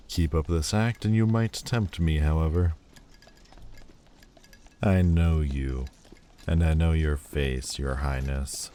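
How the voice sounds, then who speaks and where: A man voicing a sullen, proud demon lord who speaks with slow, scornful deliberation in an audio roleplay. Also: rumbling low, warm tone, a little hiss or hum. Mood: disdainful.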